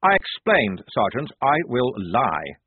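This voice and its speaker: A man voicing a pompous, fussy young army lieutenant with a prim, self-important, high-strung manner. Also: wildly swooping pitch, slow pace, slightly rough, dry recording, full character voice. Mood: fussy, commanding. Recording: tinny phone-like dry sound.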